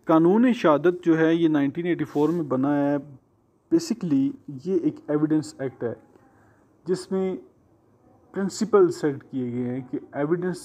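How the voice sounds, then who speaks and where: Pakistani guy teaching a class, slightly high voice, really slow and careful, goes back over points. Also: darkish tone. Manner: deliberate, explanatory, repeats and rephrases points, reads English phrases out slowly. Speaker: law lecturer. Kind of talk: exam-preparation lecture to students, mixing Urdu and English.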